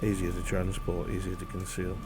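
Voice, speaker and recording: A man playing a shrewd, curious conspirator in a dark fantasy roleplay, with formal, old-fashioned phrasing. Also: deep voice, completely flat delivery, fairly quick, loud music behind the voice, close to an everyday voice. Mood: musing, suspicious.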